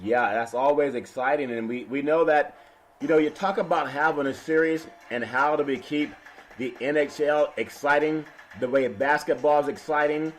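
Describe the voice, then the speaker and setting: A man with a raspy, half-lost voice hosting a casual sports talk show, laughing often and talking slowly. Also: a little high. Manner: slow and laughing, keeps calling his co-host's name. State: hoarse, partly lost voice. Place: West Coast radio studio.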